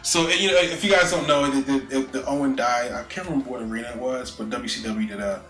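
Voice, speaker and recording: A man's voice: mid-range voice, steady tone, fast, a bit bright, echoey room, faint music behind, rough recording. A man co-hosting a casual podcast, talking loosely with frequent restarts and fillers. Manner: loose, rambling, frequent "you know" and restarts. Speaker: podcast co-host. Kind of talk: casual chat.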